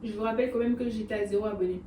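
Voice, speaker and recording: A woman's voice: medium pitch, steady tone, very fast, dark tone, recorded from a distance. A woman hosting a vlog, talking in casual slang with an upbeat, motivational manner. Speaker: vlog host. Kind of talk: casual chat. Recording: echoey room, off-mic, music behind.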